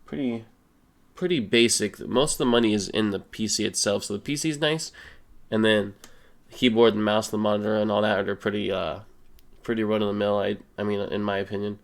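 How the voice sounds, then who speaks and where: Young male gaming creator, a bit low-pitched, nasal from a cold, laid-back chatty delivery. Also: brisk, gravelly. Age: young adult. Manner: relaxed, rambling, many fillers. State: stuffy nose, a little sick. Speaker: gaming YouTuber. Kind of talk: casual Q and A voice-over.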